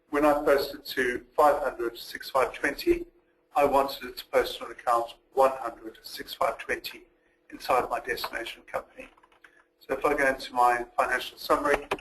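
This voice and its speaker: A man giving a methodical, step-by-step walkthrough as a software demonstrator in a recorded webinar. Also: mid-range voice, poor audio. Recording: noticeable background noise.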